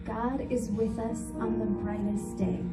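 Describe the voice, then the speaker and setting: Slow-reading woman over loud music. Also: a little high, little pitch movement. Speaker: reader in a church reader's theater. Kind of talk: scripted reader's theater performance. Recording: echoey hall, distant mic, loud music behind.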